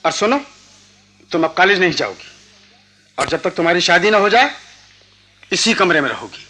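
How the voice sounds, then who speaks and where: A stern middle-aged father in a radio play. His voice is high and forceful, swinging widely as he scolds and commands in an imperious, theatrical way. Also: expressive, normal speed, heavily acted. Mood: angry, commanding. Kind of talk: Hindi radio drama acting.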